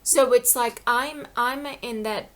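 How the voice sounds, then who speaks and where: A woman's voice: fairly high pitch, steady tone, slow pace. A middle-aged woman in an impassioned personal monologue. She rambles and repeats herself, with many "you know"s, and pleads with her viewers. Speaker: vlogger.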